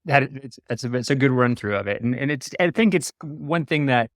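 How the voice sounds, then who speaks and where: A man's voice: medium pitch, lively intonation, fairly quick, dry recording, studio quality. A man hosting an interview, speaking conversationally as he comments and puts questions to guests. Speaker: show host.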